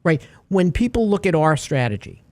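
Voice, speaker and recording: A man's voice: a little high, moderate pace, no room sound. A man hosting a radio talk show, chatting casually and acting out little stories as he goes. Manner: animated storyteller, acts out little scenes.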